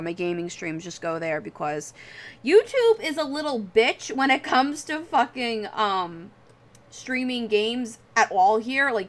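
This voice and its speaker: A woman's voice: medium pitch, fairly bright tone. A woman livestreamer chatting casually while she draws, rambling and self-deprecating, with casual swearing. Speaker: livestream host.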